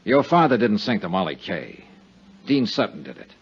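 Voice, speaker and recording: A tough, quick-witted American investigator in an old radio detective serial. His lowish voice swings dramatically through clipped, hard-boiled lines. The vintage recording is dull. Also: big pitch swings, husky, exaggerated voice. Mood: serious, pressing. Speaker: lead actor and narrator. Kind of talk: 1950s radio detective drama acting, with first-person narration.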